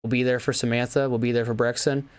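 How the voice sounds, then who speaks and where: A hoarse young man losing his voice, rambling earnestly with stumbles and restarts as he wraps up a sim racing commentary. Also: medium pitch, flat delivery, fast. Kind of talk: casual outro after play-by-play commentary.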